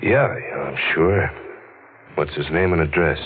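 Very deep-voiced, hard-boiled reporter. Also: male voice, unhurried, a little hiss or hum. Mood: terse. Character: weary, bitter, punch-drunk male newspaper reporter. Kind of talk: 1940s radio drama acting. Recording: tinny old radio broadcast, music behind.

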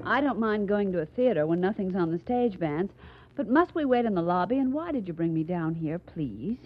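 An actress playing a pert, inquisitive, mildly exasperated secretary in 1940s radio style. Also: female voice, on the low side, expressive, normal speed, over music, natural voice. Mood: exasperated, curious. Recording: muffled old 1940s radio recording.

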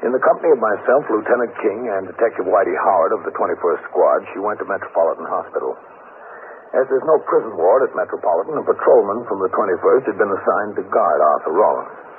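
An actor playing a calm, businesslike police captain who speaks and narrates with matter-of-fact authority in a 1950s radio drama. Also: male voice, on the low side, fast, natural voice. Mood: matter-of-fact, firm. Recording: scratchy, narrow-band 1950s radio recording.

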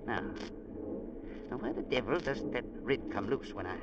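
Gruff old-radio sea captain, noisy recording. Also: male voice, medium pitch, big pitch swings, normal speed, lo-fi sound. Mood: absorbed, testy. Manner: curt, blunt, folksy. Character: gruff, unflappable, bossy male sea captain. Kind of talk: 1940s-50s radio drama acting.

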